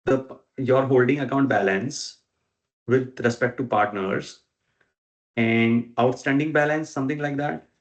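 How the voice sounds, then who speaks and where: A man in a work meeting asking questions and checking his understanding, halting as he goes. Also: mid-range voice, steady tone. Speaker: interviewer documenting a work process. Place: recorded online work meeting.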